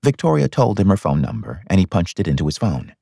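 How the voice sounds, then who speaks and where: A man narrates a novel expressively. His voice is fairly low and gravelly, with very wide swings of pitch, recorded dry. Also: very animated, quick pace, warm tone, dry recording. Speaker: audiobook narrator. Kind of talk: expressive scripted fiction narration.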